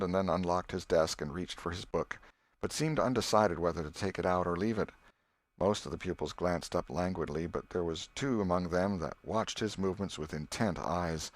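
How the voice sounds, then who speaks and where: A man narrates a story from a script. His voice sits low, and he reads expressively as an audiobook storyteller. The sound is dry and close, with no room echo.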